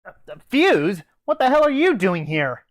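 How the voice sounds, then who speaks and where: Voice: mid-range voice, sing-song, normal speed, no room sound. A reviewer hosting a scripted comic review, playing the exasperated straight man. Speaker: host, anime reviewer.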